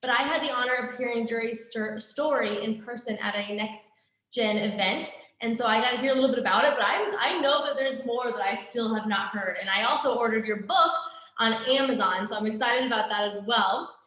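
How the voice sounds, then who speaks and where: A woman's voice: fairly high pitch, fairly quick, a bit bright. A woman hosting a live online interview show, introducing her guest with effusive enthusiasm over a video call. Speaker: interview host. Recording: very echoey room.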